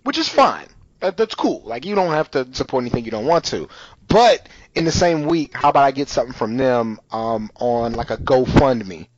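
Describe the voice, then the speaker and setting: A man's voice: medium pitch, animated, moderate pace, no echo. A man hosts a podcast in a loose, conversational way, his talk full of fillers and asides. His voice sits in a middle register. The recording is dry and dull, like a basic lo-fi home mic. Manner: loose and chatty, full of "you know" and "like". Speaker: podcast host. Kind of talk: casual podcast chat.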